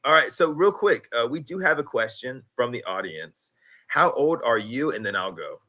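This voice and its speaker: A man in his thirties, hosting a casual podcast conversation, chatty and quick to laugh, acting out little quotes as he talks. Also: mid-range voice, animated, sharp tone. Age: 30s. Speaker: podcast host.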